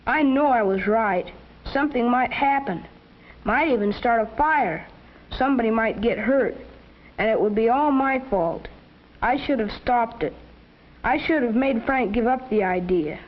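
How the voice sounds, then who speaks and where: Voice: mid-range voice, lightly acted. A young performer playing a timid, conscientious schoolboy with a worried, hesitant manner in a 1950s educational film. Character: anxious conscientious schoolboy, timid reluctant friend. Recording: dull vintage 1950s film soundtrack.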